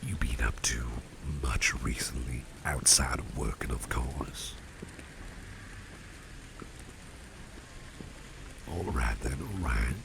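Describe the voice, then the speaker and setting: A man playing a kindly, genteel boss in an ASMR roleplay, speaking in an unhurried, breathy half-whisper with a gravelly depth. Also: extremely low pitch, animated, background noise, full character voice. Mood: curious, friendly. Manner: genteel, unhurried, repeats phrases for emphasis. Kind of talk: ASMR roleplay, soft-spoken personal attention.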